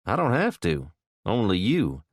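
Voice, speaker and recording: A man's voice: fairly low pitch, wildly swooping pitch, unhurried, dry recording, studio quality, exaggerated voice. A man voicing a tough armed man who speaks coolly with clipped threats. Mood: menacing.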